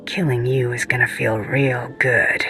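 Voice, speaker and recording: A woman's voice: very deep voice, steady tone, a little slow, bright, forceful tone, soft background music, full character voice. A woman playing a tough, mercenary bounty hunter in a video game, speaking in a blunt, terse way. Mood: cocky, menacing. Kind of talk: video game cutscene acting.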